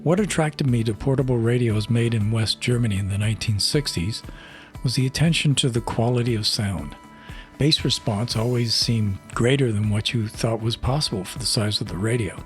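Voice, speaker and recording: An older man giving a casual, unhurried product walkthrough, often pausing mid-sentence as he explains. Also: mid-range voice, faint music behind. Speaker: vintage radio reviewer.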